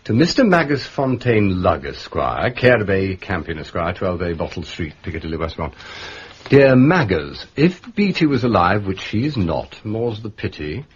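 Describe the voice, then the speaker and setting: An actor with an upper-class English accent playing a mild, wry gentleman detective in a radio drama, understated and polite. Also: low-pitched, very animated, moderate pace, slightly rough, lo-fi sound, exaggerated voice. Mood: reading drily.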